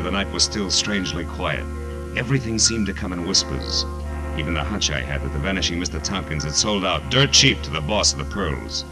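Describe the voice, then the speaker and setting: A low-pitched, hard-boiled detective, acted by a man, with music prominent behind him. He is terse and sardonic, a tough wisecracker in a vintage 1940s radio noir drama. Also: lightly acted.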